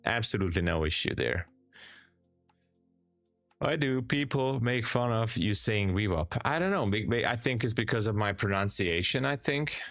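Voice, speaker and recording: A man with a Hungarian accent chatting calmly on a live stream, reading viewer comments aloud and answering them in an easygoing way, often repeating words for emphasis. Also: lowish voice, moderate pace, no echo, soft background music.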